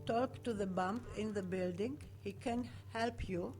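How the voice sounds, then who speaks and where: A woman's voice: medium pitch, a little slow, lightly acted. A woman voicing a dry, streetwise woman character in a video game. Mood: matter-of-fact. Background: game music.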